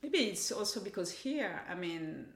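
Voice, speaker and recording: A woman's voice: on the low side, big pitch swings, moderate pace, gravelly, a little hiss or hum. A woman interviewing someone in a conversational way, posing questions and reflecting on the answers. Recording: echoey room.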